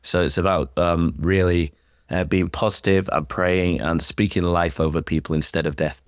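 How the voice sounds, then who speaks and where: A man's voice: low-pitched, steady tone, moderate pace, clean tone, no room sound, clean studio sound. A man speaking as an interview guest, a sports chaplain, in a conversational style.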